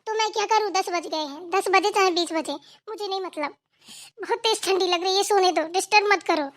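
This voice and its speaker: A woman acts out a spoiled young wife in a family cartoon. The voice is extremely high and girlish. She is fussy and stubborn, answering back in a whiny, snippy way. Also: fairly quick. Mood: sleepy, irritated. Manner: petulant, snippy back-talk. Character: whiny, stubborn, lazy young daughter-in-law, complaining and fussy. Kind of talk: amateur Hindi family cartoon dialogue.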